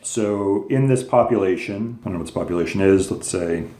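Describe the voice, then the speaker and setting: A man's voice: sits low, brisk, mellow. A man teaching a recorded lesson, explaining patiently step by step with casual fillers. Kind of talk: recorded lecture video. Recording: echoey room.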